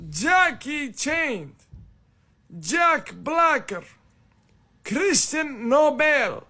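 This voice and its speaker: A man with a very high, bright voice reading a joke list in a played clip. He speaks very slowly and deliberately, with a lively, swooping pitch. His delivery is deadpan, broken by bursts of mock outrage.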